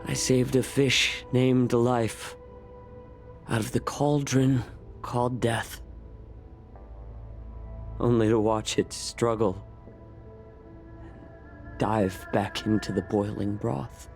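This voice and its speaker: An actor playing a fox-eared healer man in a game cutscene, speaking slowly with heavy bitterness and grief. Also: medium pitch, little pitch movement, creaky, soft background music. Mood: bitter. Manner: slow, heavy, grieving and bitter. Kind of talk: video game cutscene acting. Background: faint game score.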